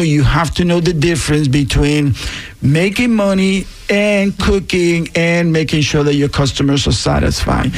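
A woman with a Puerto Rican accent, a guest on a radio panel, explains a training program earnestly, with many fillers along the way. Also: low voice.

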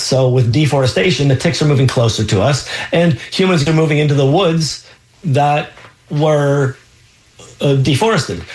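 A man's voice: a little high, poor audio. A man hosting a call-in radio health show as a physician, explaining answers with frequent ums and restarts.

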